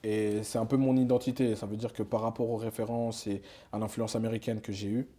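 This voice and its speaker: Young guy, slangy chatty rapper, kinda low mellow voice. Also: little pitch movement, fairly quick. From Switzerland. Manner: loose slangy talk with many fillers and restarts. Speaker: rapper guest. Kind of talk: casual interview chat.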